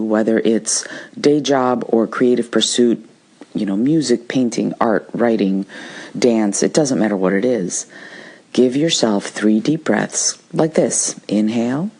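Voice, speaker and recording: Female podcast host, low husky voice, conversational and explanatory delivery. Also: warm tone. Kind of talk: solo podcast talk with practical advice.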